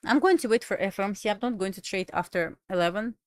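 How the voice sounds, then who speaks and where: Woman, Russian accent, native Russian speaker, casual livestream chat, halting English, mid-pitched voice, dry close mic. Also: animated, no echo. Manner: chatty, halting non-native English with restarts. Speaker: livestream trader.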